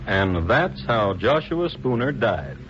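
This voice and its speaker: American male radio host, deliberate dramatic storytelling, swooping intonation, dulled 1950s broadcast. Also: fairly low pitch, sing-song, fairly bright tone, slight background noise. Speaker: host and narrator. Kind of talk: 1950s radio scripted crime narration. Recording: muffled old radio broadcast.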